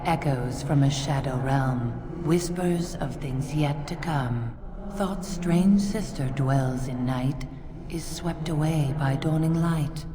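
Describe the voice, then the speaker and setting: Sorrowful maternal spirit for a fantasy game, mournful and unhurried, echoing through a noisy hall. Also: female voice, low-pitched. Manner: mournful, hushed riddling. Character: sorrowful spirit of a grieving mother.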